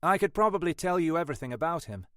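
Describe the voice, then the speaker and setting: A man's voice: on the high side, animated, fast, a bit bright, no room sound, studio quality, voice pushed up. A man voicing a cocky young computer expert in an audiobook, with a cut-glass English accent and clipped manner. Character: cocky skinny young male computer forensics whiz, twenty-six.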